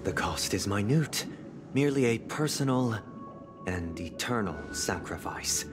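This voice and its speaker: Calm visionary leader: low register, preacherly gravity, game cutscene. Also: male voice, over music. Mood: solemn, persuasive. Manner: solemn, eloquent, deliberate. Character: calm, grandiose male leader preaching a dream paradise. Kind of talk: video game cutscene acting.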